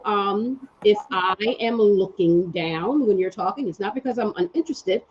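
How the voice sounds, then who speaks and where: A woman's voice: mid-range voice, a little mellow, dull audio, slight background noise. A woman hosting and producing a live online panel show, chatty with lots of fillers, speaking over a video call. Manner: friendly, chatty with fillers, multitasking. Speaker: host and executive producer.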